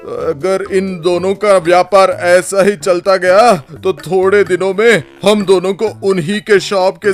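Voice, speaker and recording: A man voicing a drunken, bullying village husband in a cartoon story, boastful, scornful and blustering. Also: high voice. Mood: scheming. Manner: blustering, taunting.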